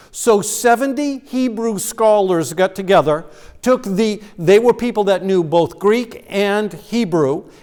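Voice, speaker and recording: High-voiced pastor in a reverberant church. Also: male voice, a little mellow. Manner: explanatory, conversational preaching with fillers and restarts. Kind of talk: sermon. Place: church sanctuary.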